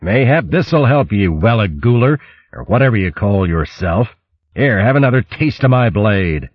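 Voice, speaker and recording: A man voicing a bold, sturdy mouse chieftain in a storybook, speaking briskly and with command. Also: lowish voice, very animated, dry recording, lo-fi sound. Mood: stern, taunting. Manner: brisk, commanding, gruff banter. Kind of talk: audiobook character voice.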